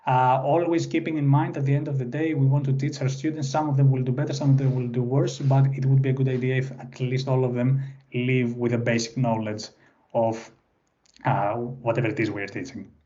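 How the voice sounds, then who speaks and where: A man's voice: medium pitch, flat delivery, fairly quick, dark tone, muffled recording. Greek-accented man presenting a workshop online. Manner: unscripted, frequent "uh" fillers and restarts. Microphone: computer mic on a video call.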